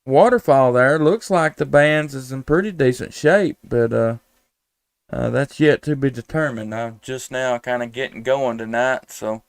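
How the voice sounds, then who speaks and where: A man's voice: medium pitch, a little slow, gravelly, smooth, no echo, studio quality. Clean-voiced Southern man chatting on the radio. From United States, Tennessee. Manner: folksy, easygoing, with radio call-sign phrasing. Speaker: amateur radio operator and live streamer. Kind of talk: casual ham radio chat on a live stream.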